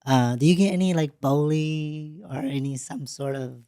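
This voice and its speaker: A woman, not a native English speaker, hosting an interview in a studio, talking in a halting way with frequent restarts. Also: low-pitched, mellow, no echo. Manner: halting, restarts often, plain unpolished English. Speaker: podcast host. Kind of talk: casual interview.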